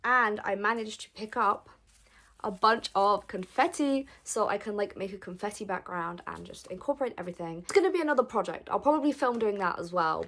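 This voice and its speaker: Hoarse, excited young woman. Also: mid-range voice. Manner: excited, gushing, chatty. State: hoarse, gravelly from lost voice. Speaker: vlogger. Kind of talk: casual vlog chat.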